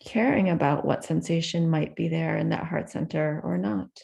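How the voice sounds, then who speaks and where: A woman leading a guided meditation in a gentle, unhurried manner with soft pauses between phrases. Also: low-pitched, vocal fry.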